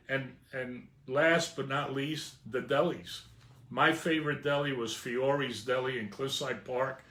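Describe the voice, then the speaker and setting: A man in his fifties with a New Jersey accent talks in a relaxed, reminiscing way, dotting his sentences with "uh" and getting excited when he talks about food. His voice sits at an ordinary middle pitch. The recording carries a noticeable room echo. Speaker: vlogger. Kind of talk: casual reminiscing monologue.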